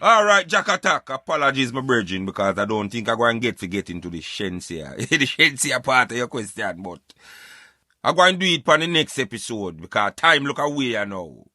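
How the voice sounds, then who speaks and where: A man's voice: medium pitch, moderate pace, no echo, clean studio sound. A man with a Jamaican accent talking casually and emphatically as a radio host, giving his opinions and addressing listeners like friends. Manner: animated, emphatic, repeatedly addressing listeners as brethren.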